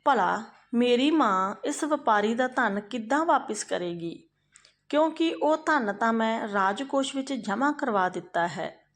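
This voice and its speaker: A woman's voice: on the high side, expressive, unhurried. A woman storyteller voicing a troubled young male thief, plain and submissive, in a folk tale. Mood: worried.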